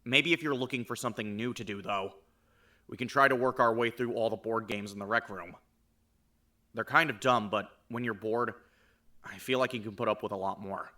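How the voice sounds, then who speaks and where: A man voicing a shy young man who reads out his letter in a soft-spoken, earnest way. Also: fairly low pitch, moderate pace. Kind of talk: reading a letter aloud.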